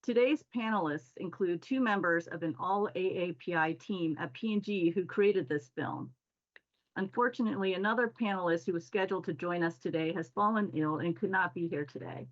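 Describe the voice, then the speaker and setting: Woman moderating a panel, slightly low voice. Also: fairly quick, highs cut off. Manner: scripted, formal introductions. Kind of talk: hosting a panel discussion.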